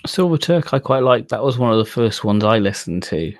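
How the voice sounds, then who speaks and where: A man with an average-pitched voice talks casually as a podcast co-host. The delivery is informal fan chat, and the sound is dry with very little room. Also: expressive, fairly quick, no room sound.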